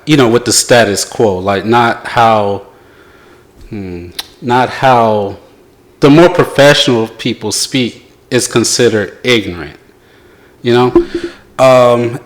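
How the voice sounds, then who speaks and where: A man hosting a podcast in casual chat, talking in a loose, unhurried way with frequent fillers. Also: medium pitch. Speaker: podcast host.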